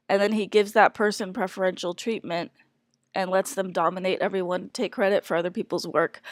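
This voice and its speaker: A woman's voice: medium pitch, no echo, clean studio sound. A congested woman candidly talking through a stressful work situation, hesitant and full of fillers and restarts. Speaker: speaker sharing a personal work situation. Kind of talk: candid personal monologue.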